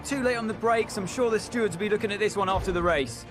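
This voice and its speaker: A man's voice: high-pitched, fast talker, music in the background, natural voice. A man playing a keen co-commentator who gives analysis during live motorsport television coverage. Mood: keen, engaged. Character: keen male race co-commentator giving analysis.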